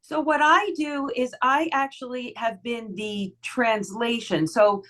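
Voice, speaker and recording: A woman voice actress with a somewhat low voice, talking in a friendly, chatty way with an audible smile in her voice. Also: steady tone, slow, fairly bright tone. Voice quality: lower voice for a woman. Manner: chatty, friendly, giggly, smiling tone. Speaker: voice actress guest. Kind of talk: casual podcast interview.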